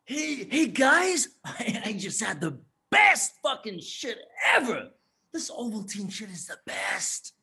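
A man puts on a crude, excitable jock son in an improv comedy ad parody. The voice is husky and swings widely in pitch, with dudeish, repetitive delivery. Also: takes it slowly, exaggerated voice. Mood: proud, excited.

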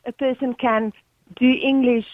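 A woman's voice: fairly high pitch, level tone, a little slow, no room sound. A South African woman with an Afrikaans accent calling a radio show, polite and agreeable with frequent hesitant fillers. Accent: Afrikaans-influenced South African. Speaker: caller. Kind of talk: phone-in call. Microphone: telephone. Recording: muffled phone line.

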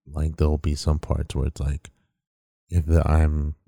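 A man with a very deep baritone voice, chatting casually as a podcast co-host in a relaxed, rambling way with lots of fillers. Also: unhurried, gravelly, no room sound, clean studio sound.

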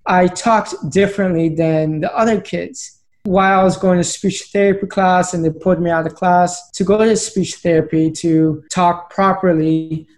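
A man's voice: high-pitched, fairly even intonation, moderate pace. A man in his late twenties, a podcast guest telling his story in an earnest, plain way. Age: late 20s. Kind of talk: conversational storytelling.

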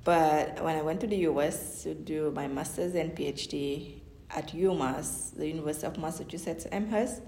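Woman, low pitch, reflective, roomy echo. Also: normal speed, mellow, slight background noise. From Senegal. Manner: thoughtful, restarts sentences, lots of "you know". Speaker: academic guest. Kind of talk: interview answers. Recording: echoey room.

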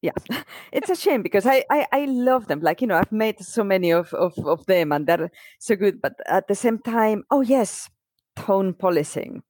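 A woman's voice: mid-range voice, animated, normal speed, darkish tone, no echo, studio quality. A middle-aged woman from Spain, a native Spanish speaker, chatting casually and telling stories by acting out what people said. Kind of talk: casual chat.

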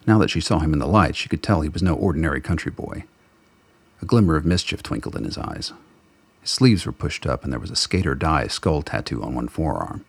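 A man with a gravelly, husky voice giving scripted dramatic narration for a radio play. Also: extremely low pitch, lively intonation, a little fast, dry recording.

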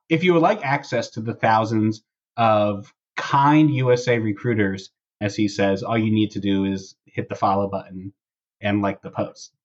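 A man with a husky voice hosting a casual podcast, chatting and reading posts aloud in a wry, sarcastic way. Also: mid-range voice, lo-fi sound. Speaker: podcast host.

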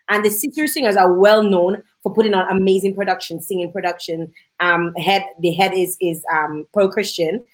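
A woman with a Dominican accent hosting a casual, conversational interview. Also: mid-range voice. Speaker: host.

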